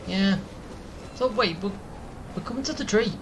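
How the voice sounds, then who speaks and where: A man's voice: sits high, normal speed, background noise. A man giving casual let's-play commentary, muttering to himself as he plays. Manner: muttering to self while playing. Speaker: gamer, let's-play host. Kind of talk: casual live gameplay commentary.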